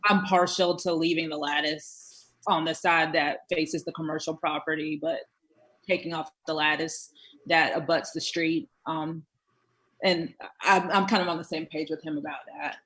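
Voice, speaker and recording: A woman's voice: deep voice, little pitch movement, normal speed, a bit bright, low-quality recording. A woman serving as a commission member, deliberating and stating motions in a formal meeting.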